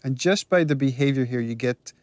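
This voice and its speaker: A man's voice: mid-range voice, brisk, darkish tone. A man casually explaining characters and scenes from a video game as a commentator. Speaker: commentator on a game's cutscenes.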